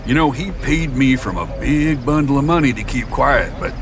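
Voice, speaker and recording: A man's voice: medium pitch, expressive, normal speed, background noise, close to an everyday voice. A man playing a folksy, eager farmer in a radio drama, rambling and hesitant in a rural American drawl.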